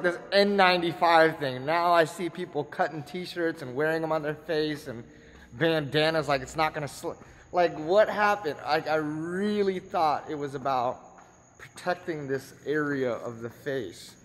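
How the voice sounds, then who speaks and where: A man's voice: a little high, normal speed, fairly bright tone, reverberant. A young adult man with an American accent chatting casually to the camera in slangy, informal vlog style. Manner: slangy, informal, often saying bro and man. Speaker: video blogger. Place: indoors, in an apartment, car and parking garage.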